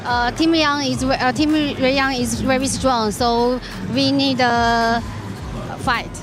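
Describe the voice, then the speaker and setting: Halting, slow-talking woman. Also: high-pitched, steady tone, over music. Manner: halting, hesitant English. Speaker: esports player.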